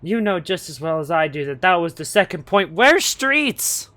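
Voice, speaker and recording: A man acts out an exasperated, bumbling police chief in a comedy audio play. His voice sits high and swings widely as he talks in a halting, folksy, fatherly manner. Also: very animated, bright, forceful tone, heavily acted. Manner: halting, hesitant, folksy phrasing. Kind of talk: comedic audio drama acting.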